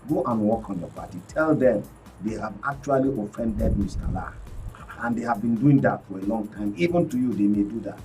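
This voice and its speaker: A man's voice: on the low side, fairly quick, mellow. Guy arguing his point on a radio panel, sounds far-ish from the mic, faint music under. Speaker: studio guest, media analyst. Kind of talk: panel discussion.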